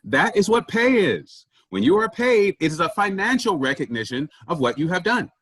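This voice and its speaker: A man's voice: sits high, sing-song, normal speed, fairly bright tone, slightly rough, no room sound, clean studio sound, heavily acted. A man in a staged comedy reading playing a pushy, self-important office boss who preaches efficiency emphatically. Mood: dismissive.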